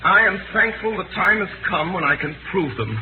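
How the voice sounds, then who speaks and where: A man's voice: high-pitched, sing-song, normal speed, phone-quality sound. A man acting in a 1930s radio drama as an earnest Englishman resolved on self-sacrifice, speaking in a commanding, solemn, theatrical way. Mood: insistent, grave. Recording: scratchy, band-limited 1938 radio recording.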